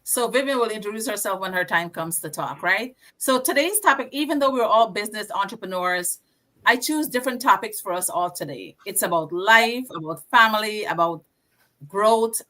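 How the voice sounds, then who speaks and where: A woman hosting an online group call, briskly calling on each guest by name. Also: mid-range voice, lively intonation, bright, forceful tone. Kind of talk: casual video call hosting.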